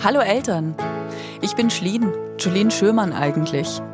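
A woman in her thirties hosting a podcast with conversational narration. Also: lowish voice. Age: 30s. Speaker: podcast host.

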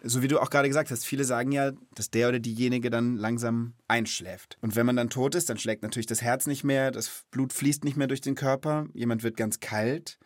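A man's voice: mid-range voice, fairly even intonation, brisk, few pauses, no echo, clean studio sound. A man hosting a children's podcast in a casual, explanatory way, speaking thoughtfully and with empathy.

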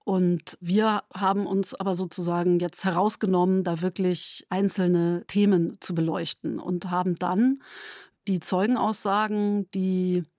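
A woman's voice: lowish voice, steady tone, unhurried, a little mellow, no echo. Woman explaining, dry studio sound. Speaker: radio drama maker. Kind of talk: explanatory making-of statement.

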